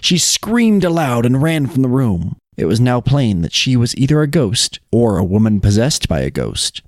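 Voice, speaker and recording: A man co-hosts a storytelling podcast with a lively, varied pitch. His voice sits at a middle height in a very dry recording. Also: dry recording. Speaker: podcast co-host. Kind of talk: casual chat and storybook reading of folk tales.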